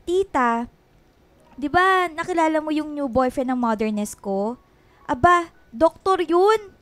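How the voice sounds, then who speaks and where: A woman's voice: high voice, animated, takes it slowly, a bit bright, dry recording, exaggerated voice. An actress playing a cheeky, chatty young woman in a Filipino radio drama, playful and exclamatory, slipping English into her talk. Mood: playful teasing.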